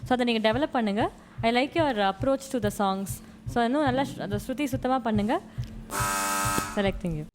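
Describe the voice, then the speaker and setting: A woman's voice: a little high, expressive, slow pace. A woman judge giving brief audition feedback, often switching into English. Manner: mixes English into speech. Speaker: singing contest judge.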